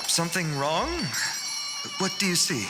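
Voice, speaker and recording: A man's voice: a little high, unhurried, lightly acted. A young man playing a good-natured, bashful village youth who dreams of heroism, in video game dialogue. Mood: friendly, easygoing. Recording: reverberant, music behind.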